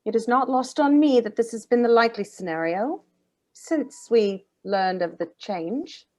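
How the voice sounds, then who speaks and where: A woman's voice: on the high side, animated, unhurried, darkish tone. A woman playing a stern, stoical housekeeper in her sixties in a drama table read, speaking formally and solemnly.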